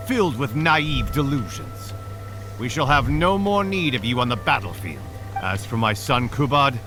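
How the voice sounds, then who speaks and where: An actor playing a wrathful, fanatical king in a video game's stage play, speaking in a solemn, imperious, declamatory way. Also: medium pitch. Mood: cold, angry. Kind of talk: theatrical stage-play acting in a video game. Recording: music behind the voice.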